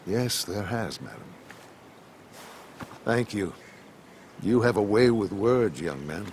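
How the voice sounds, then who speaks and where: A slow, swooping older man's voice in a game drama. He is solemn, formal and courteous, with faint noise behind. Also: lowish voice, very animated, darkish tone, full character voice. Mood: solemn, kindly. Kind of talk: dramatic video game acting.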